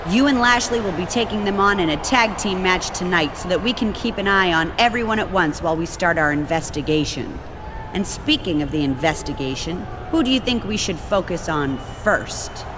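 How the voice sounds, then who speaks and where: A woman's voice: lowish voice, lively intonation, moderate pace, background noise, close to an everyday voice. A woman playing a stern, commanding wrestling general manager in a video game cutscene. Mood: firm, businesslike.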